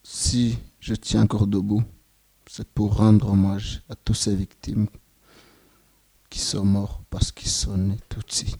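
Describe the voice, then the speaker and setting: Slow, grave young Rwandan man. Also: on the low side, level tone, warm tone, dry recording. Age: 20s. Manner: slow, grave, measured phrasing. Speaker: genocide survivor giving testimony. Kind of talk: solemn spoken testimony.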